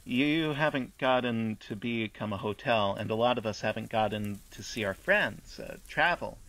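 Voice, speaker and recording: A man acting in a radio play as a gentle, tactful fellow, speaking in a hesitant, understated, naturalistic way. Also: on the low side, normal speed, lightly acted, poor audio. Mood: gently probing. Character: gentle, tactful man patiently humoring a talking building.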